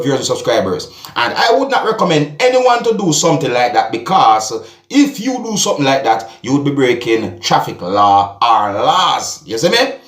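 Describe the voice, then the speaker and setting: A Jamaican man commenting on video clips for his vlog, talking deliberately and addressing his viewers over and over. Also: medium pitch, a bit bright, roomy sound. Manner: repetitive, addresses "viewers and subscribers", slow and deliberate. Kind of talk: casual commentary.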